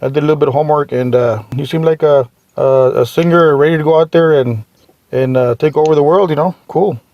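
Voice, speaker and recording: A man from Texas hosts a metal podcast in a relaxed, rambling way, using lots of fillers. His voice sits a little high for a man. The recording is dry and close. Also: brisk, dry recording, highs cut off. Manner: laid-back, filler-heavy, says man a lot. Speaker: podcast host, interviewer. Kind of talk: casual podcast interview.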